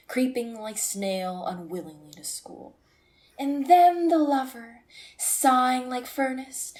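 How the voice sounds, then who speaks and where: A woman's voice: on the high side, darkish tone. A woman playing a cynical, melancholy philosopher lord in a Shakespearean radio play, with theatrical verse delivery.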